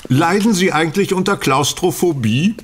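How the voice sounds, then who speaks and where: A man playing a sly, inquisitive gentleman detective in a German radio play, with a wry, probing manner. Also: high voice, lively intonation, normal speed, slightly rough.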